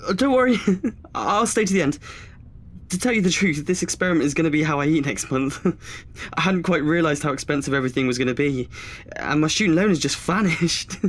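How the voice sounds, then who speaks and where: A man's voice: on the high side, fairly quick, a bit bright. An actor playing a nervous, chatty twenty-year-old male student in an audio drama, hesitant and self-deprecating. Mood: cheerfully nervous.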